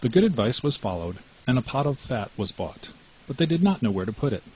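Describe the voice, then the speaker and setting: A man reading a fairy tale as an audiobook narrator, in an expressive storytelling style. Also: medium pitch, brisk, slight background noise, poor audio.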